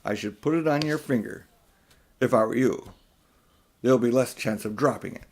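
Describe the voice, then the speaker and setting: A man's voice: moderate pace, a little mellow, a little hiss or hum, lightly acted. A man voicing a haughty, manipulative older uncle who is a magician in a children's audiobook, speaking in a condescending, smug way. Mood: cool, instructive. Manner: high and mighty, condescending, coolly smug. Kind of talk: children's audiobook character reading.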